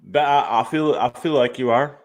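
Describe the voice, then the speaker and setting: A man's voice: mid-range voice, normal speed. A man hosting a casual interview, speaking in a laid-back way with hesitant fillers. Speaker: interviewer, podcast host.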